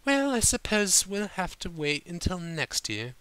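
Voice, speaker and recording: A man's voice: high voice, sing-song, unhurried, pushed and bright, smooth, no echo, voice pushed up, full character voice, low-quality recording. A man voicing a refined, tactful female pony in a storybook, speaking in a polite, proper, slightly flustered way. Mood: flustered, light. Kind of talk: fan fiction audiobook character voice.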